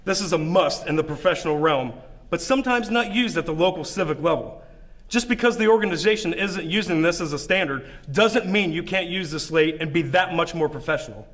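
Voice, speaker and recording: A man's voice: fairly high pitch, a little fast, fairly bright tone. A man presenting scripted instructional tips on acting.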